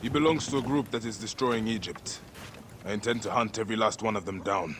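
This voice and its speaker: Guy doing a grave egyptian warrior, lowish voice, slow and steady, game cutscene. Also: background noise, lightly acted. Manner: measured, resolute and grave. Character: Bayek, stern male Egyptian Medjay warrior. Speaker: protagonist. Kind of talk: video game cutscene acting.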